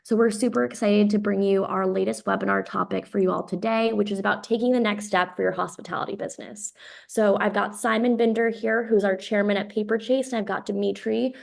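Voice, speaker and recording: Woman host with a gravelly edge. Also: medium pitch, steady tone, brisk. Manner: upbeat, with frequent ums. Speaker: webinar host. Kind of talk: webinar introduction.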